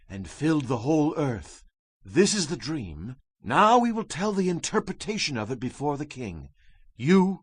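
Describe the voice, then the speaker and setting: A man's voice: fairly high pitch, slightly rough, no echo, exaggerated voice. An actor playing a solemn male prophet, declaiming emphatically in a dramatized scripture reading. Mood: solemn, awed. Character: Daniel, male prophet solemnly interpreting a king's dream.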